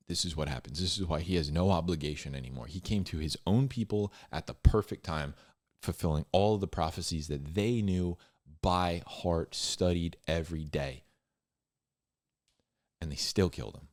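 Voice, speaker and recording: Deep-voiced man giving informal Bible commentary. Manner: conversational, halting, repeats phrases for emphasis. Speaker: Bible storyteller and commentator, host.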